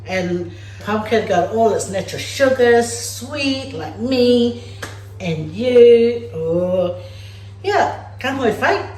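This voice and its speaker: A woman from Samoa hosts a home cooking vlog and chats playfully with her viewers as she works. She speaks at an unhurried pace. Her voice bounces around a roomy, echoey home kitchen. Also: fairly high pitch, animated, darkish tone. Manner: chatty and playful, talks straight to viewers as "guys". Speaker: cooking vlogger. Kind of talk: casual cooking demonstration. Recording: echoey room.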